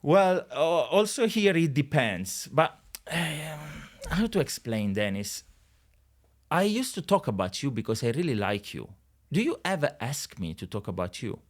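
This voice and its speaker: A middle-aged Italian man, a guest on a podcast, speaking English with an Italian accent in an animated, jokey way, with many restarts. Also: on the high side, unhurried. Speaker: guest, real estate agent. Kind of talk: casual podcast conversation.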